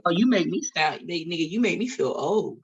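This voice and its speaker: A woman's voice: lowish voice, animated, quick pace, muffled recording, a crowd in the background. A woman in her late thirties, a guest on a podcast, talking bluntly and with lots of swearing in a casual chat. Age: 30s. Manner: blunt and profane, preachy asides. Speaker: guest, independent wrestler. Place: studio table.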